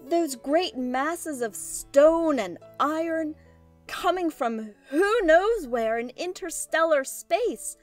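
A woman plays a timid, awestruck sweetheart in an old-fashioned radio drama. Her voice is high and lilting, slow and wistful, with a melodramatic sense of wonder. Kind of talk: retro 1930s radio drama acting.

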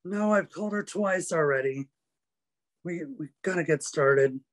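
A man's voice: a little high, a little slow, lightly acted. A man playing an impatient, short-tempered theater director, curt and sighing, on a webcam.

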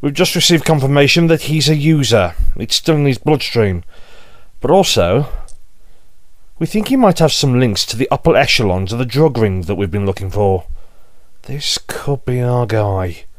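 A man's voice: medium pitch, animated, moderate pace, natural voice. A man playing a keen, blunt police investigator in an audio drama, with an informal manner. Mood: excited. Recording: loud music over the voice.

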